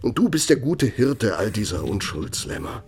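An actor playing a cynical, bitter middle-aged architect and old Nazi functionary in a German radio play, gravelly, with a pointed, self-justifying delivery. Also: male voice, lowish voice, sing-song, moderate pace. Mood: reproachful. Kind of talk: German radio play acting, dramatic monologue.

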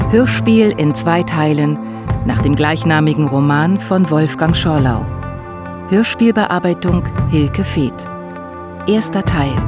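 A woman reading scripted credits as announcer for a radio drama. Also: sits low.

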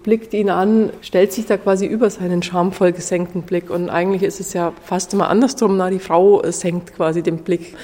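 A woman's voice: lowish voice, fairly even intonation, moderate pace, hardly any pauses, mellow. A woman speaking as a museum curator, explaining things off the cuff as a guide would. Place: indoors in a museum exhibition room. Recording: long reverberant exhibition hall.

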